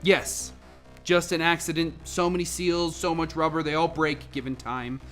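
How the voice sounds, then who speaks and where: A woman playing a cautious senior scientist in a radio play, measured and trying to keep others calm. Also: low voice, faint music behind. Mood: measured, explaining. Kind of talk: amateur radio play acting.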